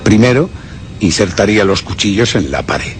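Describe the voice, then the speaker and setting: Deep, brooding gentleman narrator played by an actor. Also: animated, soft background music, natural voice. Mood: sly reasoning. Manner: measured, theatrical, deliberate storytelling. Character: brooding gentleman narrator recounting his vengeful suspicions. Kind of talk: suspense radio drama first-person narration.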